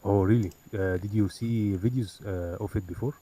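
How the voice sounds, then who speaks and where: A man's voice: on the low side, steady tone, moderate pace, dark tone, slightly rough. A man from Egypt chatting hesitantly, with many fillers, through a noisy, faulty microphone. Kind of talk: casual chat.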